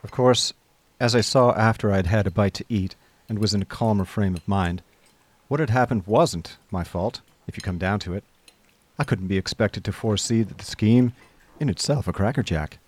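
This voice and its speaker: A man playing an affable, idle upper-class gentleman, narrating a radio drama in breezy old-fashioned slang. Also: on the low side, moderate pace, breathy edge, no echo. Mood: rueful, breezy.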